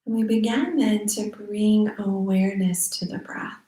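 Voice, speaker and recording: A woman leads a guided meditation in a mellow, relaxed voice. She speaks slowly and soothingly, leaving long pauses between phrases. The room adds a noticeable echo around her. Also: medium pitch. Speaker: meditation teacher. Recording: roomy echo.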